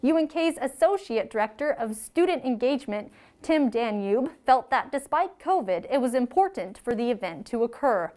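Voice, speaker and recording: A woman's voice: fairly high pitch, animated, moderate pace, darkish tone. A young woman reading scripted news as a student reporter. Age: young adult.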